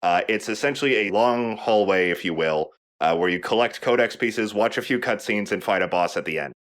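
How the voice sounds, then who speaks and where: A man with a low-pitched voice commentates over a video game as the host. He explains things in a casual, chatty way. He drops in joking asides and the odd swear word. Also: brisk, fairly bright tone. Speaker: let's play host and player.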